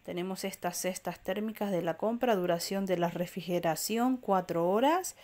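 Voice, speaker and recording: A woman from walking through a supermarket aisle, filming on a handheld phone and reading out prices and sizes in a steady listing way. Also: medium pitch, normal speed. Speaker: shopping vlogger. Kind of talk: walk-through product rundown. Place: indoor discount supermarket aisle. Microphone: handheld phone camera, filming while browsing.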